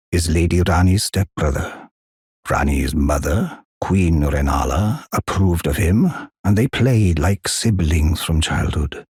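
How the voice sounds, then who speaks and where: An enormous old giant blacksmith for a fantasy game. The voice is extremely low and heavily husky, weathered by age. He talks slowly, with humble courtesy and old-fashioned phrasing, in a dry, close recording. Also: dry recording, heavily acted. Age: older. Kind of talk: fantasy video game character dialogue.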